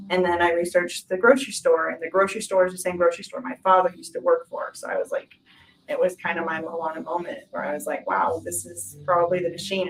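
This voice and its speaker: A woman's voice: lowish voice, distant mic, a little hiss or hum, low-quality recording. A woman telling her personal story in a reflective, informal way, as in a documentary interview. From Hawaii, island of Kauai. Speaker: shaved-ice business owner.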